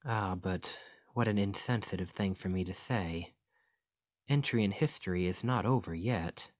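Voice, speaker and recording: A man playing a gentle, curious fantasy archaeologist in an ASMR roleplay, speaking in a formal, musing, articulate way. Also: low voice, normal speed, no room sound, natural voice. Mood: kindly, earnest.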